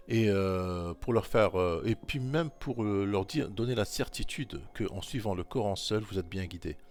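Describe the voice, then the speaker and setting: A man with a fairly low voice talks through scripture verses in a loose, informal way. He keeps coming back to the same points and presses them on the listener, talking straight to them. Faint music plays quietly underneath. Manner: insistent, repetitive exhortation. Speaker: religious commentator.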